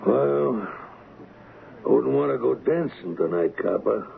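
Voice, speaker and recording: A man playing a cocky, wisecracking gangster in an old-time radio crime drama, slangy and taunting, with rough grammar. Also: medium pitch, expressive, a little slow, phone-quality sound. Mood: sardonic, defiant. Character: cocky, wisecracking street-tough gangster hired as an agent. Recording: thin, band-limited, hissy old radio recording.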